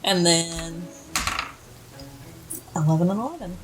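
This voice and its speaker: A woman's voice: fairly low pitch, slow pace, very noisy. A woman chatting casually as a player in a tabletop game, calling out dice numbers. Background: music.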